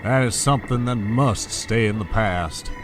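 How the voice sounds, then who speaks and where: A man's voice: fairly low pitch, unhurried, faint music behind, close to an everyday voice. A man acting a suave, sentimental older schemer in a soap-opera audio drama. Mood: wistful, courtly.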